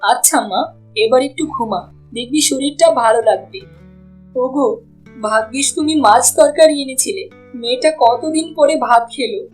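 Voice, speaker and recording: A woman's voice: sits high, moderate pace, soft background music, lightly acted, poor audio. A woman voicing a tender, anxious village mother in a cartoon. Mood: soothing. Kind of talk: Bengali cartoon voice acting.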